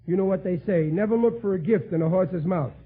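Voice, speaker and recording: High-pitched, blustering American radio dad. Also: male voice, breathy edge. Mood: fatherly. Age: middle-aged. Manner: blustering, folksy, slow-witted delivery with malapropisms. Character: well-meaning, dim-witted working-class father, blustering and genial. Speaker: lead actor in a radio sitcom. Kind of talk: 1940s radio comedy acting. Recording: tinny, narrow-band 1940s radio broadcast.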